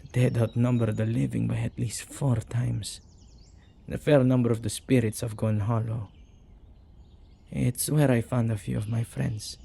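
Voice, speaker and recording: A man playing a witch who keeps company with ghosts, excitable and caring, in a conversational ASMR audio roleplay with a husky, gravelly voice, speaking closely to the listener. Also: mid-range voice, moderate pace. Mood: troubled, grave.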